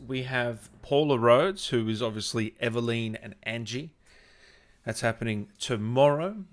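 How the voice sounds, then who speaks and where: Slow-paced man hosting a casual livestream. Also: medium pitch, a bit bright. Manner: informal, matey, joking, often addressing viewers directly. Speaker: livestream host reading viewer comments. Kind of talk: casual livestream chat with viewers.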